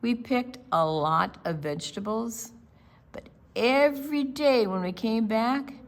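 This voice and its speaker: A woman's voice: a little high, animated, slow pace. An older woman reading a picture book aloud to children at a library storytime.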